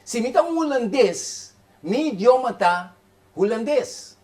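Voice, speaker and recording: High-pitched preacher guy, fast and fired up, keeps repeating himself. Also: lively intonation, darkish tone. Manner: fervent, rapid preaching with repeated phrases. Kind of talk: sermon.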